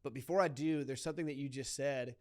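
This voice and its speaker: A young man speaks quickly and eagerly in casual conversation, often affirming his co-host before launching into his own ideas. His pitch sits in the middle of the range. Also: fairly even intonation. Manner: eager, affirming, rapid-fire. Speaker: church staff member and podcast co-host.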